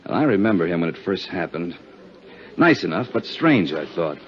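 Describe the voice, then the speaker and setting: An actor playing a big, seasoned American police lieutenant in a 1950s radio drama, gruff and businesslike. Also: male voice, sits low, very animated, moderate pace, slight background noise. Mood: questioning, businesslike. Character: big, seasoned, plain-spoken New York police lieutenant. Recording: muffled 1950s radio recording.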